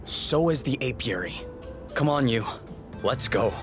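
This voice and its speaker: A man's voice: medium pitch, lively intonation, a little slow, over music, natural voice. A young man playing a blunt, skeptical, principled rebel in a dramatic video game. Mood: defiant. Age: young adult.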